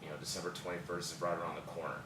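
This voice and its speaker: An American man chatting casually in a group, rambling and colloquial in an animated way. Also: sits low, rapid-fire. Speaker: actor at a table read. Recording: distant mic, echoey room, background noise.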